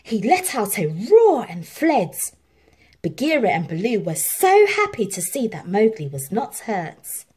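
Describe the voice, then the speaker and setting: Female storybook narrator for young children, lively pitch movement, unhurried, slightly husky. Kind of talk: scripted children's read-aloud narration.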